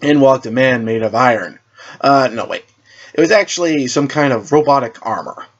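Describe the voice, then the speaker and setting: A man's voice: medium pitch, moderate pace, highs cut off. A man reading a superhero story aloud as narrator, often stumbling over words and rereading them.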